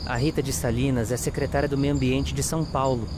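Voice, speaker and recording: A man's voice: mid-range voice, fairly even intonation, fast, background noise, natural voice. An actor playing a detective's earnest, inquisitive male sidekick in an audio drama, talking in a conversational, questioning way. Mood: puzzled, curious.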